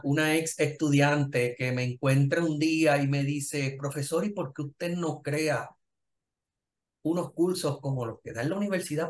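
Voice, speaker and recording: Man, middle-aged, Puerto Rican accent, medium pitch, professor as interview guest, conversational storytelling. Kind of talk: conversational personal career account.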